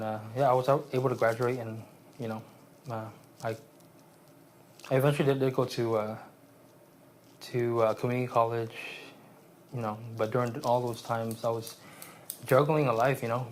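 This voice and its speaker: A man in his late twenties from Vietnam, raised in San Jose, speaking as an interview guest in a halting, reflective way with many restarts. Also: mid-range voice, fairly even intonation, slight background noise. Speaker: guest, aspiring therapist.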